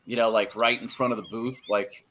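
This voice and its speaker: An American man chatting casually as a podcast guest, telling anecdotes in an animated, self-deprecating way. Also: medium pitch, a little fast, slight background noise. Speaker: interview guest, radio play-by-play announcer.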